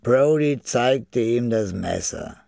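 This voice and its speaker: A man narrating a scripted radio crime drama in a measured, matter-of-fact way. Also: mid-range voice, animated, creaky, warm tone, dry recording, clean studio sound.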